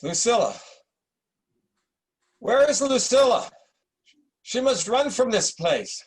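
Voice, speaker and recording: A man playing a dying old Roman emperor and father in a drama table read, speaking in halting, weighty declamation. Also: very high-pitched, sing-song, husky, pitched above the performer's own voice, heavily acted. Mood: fevered, desperate.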